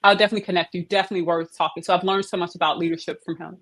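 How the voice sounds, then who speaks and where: A woman's voice: lowish voice, rapid-fire. Woman on a video call interview, chatty and emphatic, telling how her career came together. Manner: animated storytelling, emphatic. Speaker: head of operations, interview guest. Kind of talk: casual interview conversation.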